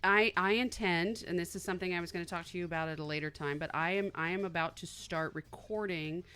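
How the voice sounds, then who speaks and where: A woman's voice: fairly low pitch, fairly even intonation, fast, fairly bright tone, clear, resonant tone. A woman co-hosting a podcast, chatting casually and animatedly, laughing often and trailing off mid-sentence. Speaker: podcast co-host. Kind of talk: casual chat.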